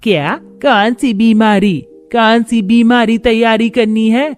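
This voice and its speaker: A woman's voice: on the high side, steady tone, soft background music, pitched above the performer's own voice. A woman voicing a muddled, insistent old aunt who is hard of hearing and drags out repeated words. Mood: confused. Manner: drawn-out, repeating misheard words.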